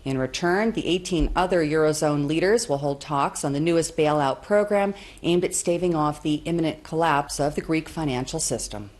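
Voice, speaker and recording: A woman news anchor reading scripted reports in a measured, formal way. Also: low voice, a little mellow.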